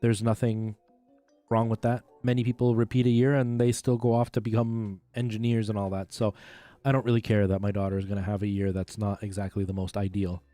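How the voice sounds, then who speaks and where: Guy in his 30s, kinda low voice, just chatting on a game stream, faint music behind. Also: little pitch movement, fairly quick, mellow. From Canada. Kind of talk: casual chat while playing a retro game on a livestream.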